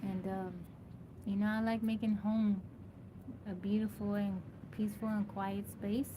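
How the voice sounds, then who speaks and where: A woman's voice: mid-range voice, level tone, a little slow. A woman from Texas hosting a casual live stream, greeting viewers by name and answering their questions. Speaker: livestream host. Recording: noisy live-stream audio.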